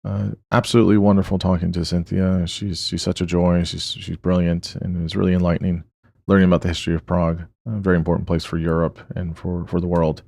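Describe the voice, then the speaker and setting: A man hosting a podcast, introducing the episode and his guest in a relaxed way with many fillers and restarts. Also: low-pitched, fast, gravelly, dry recording, studio quality. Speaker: podcast host.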